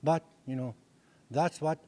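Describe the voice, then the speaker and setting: A man's voice: on the high side, a little slow, darkish tone. An older man whose first language is Dakota, teaching a language class with a handheld mic in an indoor meeting room, speaking earnestly with frequent restarts and repetitions. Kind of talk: classroom lecture.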